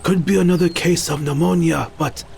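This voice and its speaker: A man's voice: a little high, steady tone, normal speed, creaky, darkish tone, slight background noise. A man playing an elderly village musician in an audio drama, formal, grave and urgent.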